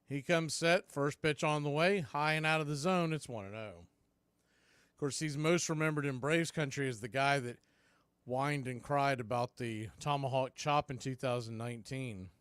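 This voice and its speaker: A man's voice: medium pitch, a little slow, a bit bright, clean tone, no room sound. An American man calling live baseball play-by-play in a folksy, partisan home-team style. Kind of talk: live radio baseball commentary.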